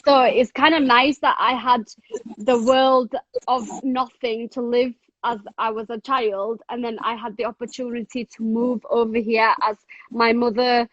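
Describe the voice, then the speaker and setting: A woman's voice: fairly high pitch, a bit bright, dry recording, highs cut off. A woman from Thailand now living in England, chatting casually on a video call with many fillers and the odd swear word. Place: home in England.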